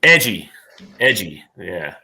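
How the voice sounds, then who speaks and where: Guy with a lowish forceful voice, rambly and hyped, chatting as a guest on a casual stream. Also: sing-song, takes it slowly, vocal fry. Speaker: actor, interview guest. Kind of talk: casual livestream interview.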